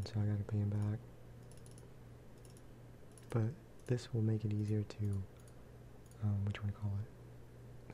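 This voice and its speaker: A man giving casual, rambling ASMR game commentary, speaking softly and often slipping into whispers. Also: on the low side, noisy background. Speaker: gaming video host.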